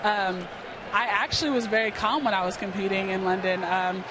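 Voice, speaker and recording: A woman's voice: medium pitch, fairly even intonation, normal speed, a crowd in the background. An American woman in her early twenties from New York, speaking earnestly and conversationally as an athlete being interviewed. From New York, United States. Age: early 20s. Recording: clear outdoor stadium noise behind. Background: outdoor ballpark ambience.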